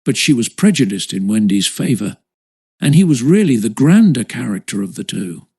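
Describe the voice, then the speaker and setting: A man's voice: mid-range voice, big pitch swings, moderate pace, a little mellow, slightly rough. A man reading a children's story as scripted audiobook narration.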